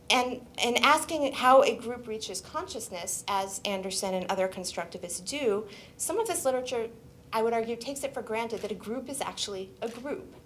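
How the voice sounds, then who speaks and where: A woman historian presenting her book at an academic seminar, speaking in a measured, scholarly way with occasional restarts. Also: medium pitch, a little hiss or hum. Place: seminar room.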